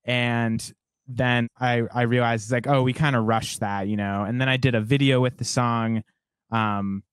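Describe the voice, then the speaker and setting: A man's voice: mid-range voice, level tone, moderate pace, clean tone, no room sound. Young guy songwriter, rambly and thoughtful, lots of "like"s, dead dry room. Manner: reflective, rambling with many "like" fillers. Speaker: songwriter guest. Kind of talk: casual interview conversation.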